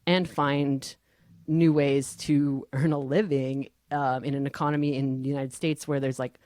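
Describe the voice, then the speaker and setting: A woman moderating a podcast panel, talking casually in a halting, conversational way. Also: extremely low pitch, little pitch movement, mellow, no echo, studio quality.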